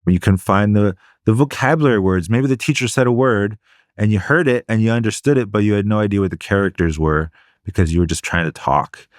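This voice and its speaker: A man co-hosting a podcast, explaining study methods in a measured, casual way. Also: on the low side, dry recording, clean studio sound. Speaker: podcast co-host.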